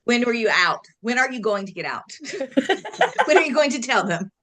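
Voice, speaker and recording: Woman host, playful questioner, quick to laugh. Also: on the high side, big pitch swings, fairly bright tone. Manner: teasing, asks questions, laughs often. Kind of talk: casual interview chat.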